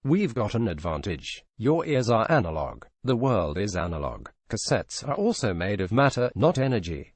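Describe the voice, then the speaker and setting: A man reading a feature article aloud as scripted narration, in a measured journalistic delivery. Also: fairly low pitch, big pitch swings, no echo.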